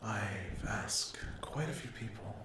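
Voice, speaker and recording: A man speaking in a hushed, halting whisper as an ASMR host addressing his viewers. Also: on the low side, reverberant, very noisy. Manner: hushed, halting delivery with long pauses.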